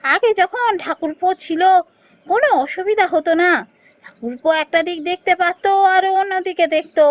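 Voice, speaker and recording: A woman voicing a caring, earnest village woman in a fairy-tale cartoon, expressive and melodramatic. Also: very high-pitched, normal speed, no echo. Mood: regretful, earnest.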